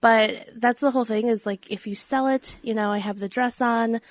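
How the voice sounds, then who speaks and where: A woman's voice: on the high side, steady tone, moderate pace, no echo. A woman comedian chatting casually as a podcast guest, laughing often and filling her speech with "like.". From Minneapolis area, USA.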